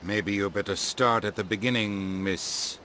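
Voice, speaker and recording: An actor playing an irritable, curt human ambassador in a video game. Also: male voice, lowish voice, steady tone, unhurried, pushed and bright, a little hiss or hum. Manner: curt, exasperated.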